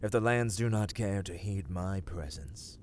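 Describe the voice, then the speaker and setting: An ancient, predatory vampire lord for an ASMR fantasy roleplay. His voice sits low and moves very slowly, with formal, theatrical phrasing that often sinks into whispers. He is imperious yet coldly courteous. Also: slight background noise. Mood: grave, commanding. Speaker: voice actor in audio roleplay.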